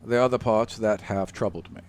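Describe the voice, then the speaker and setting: A man playing a haughty, contemptuous demigod in a measured, condescending manner for a tabletop game. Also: on the low side, darkish tone, natural voice. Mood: grave, resolute.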